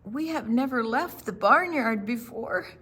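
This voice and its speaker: Woman as a worried storybook mule, earnest, emphatic, lively pitch, slightly husky. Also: measured pace, exaggerated voice.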